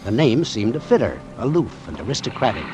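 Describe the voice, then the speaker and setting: An actor playing a brisk, authoritative ship's doctor in an old-time radio drama, matter-of-fact and clipped. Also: male voice, medium pitch, sing-song, over music, natural voice. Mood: measured, wry. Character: brisk, authoritative, dry-witted male ship's doctor, narrating.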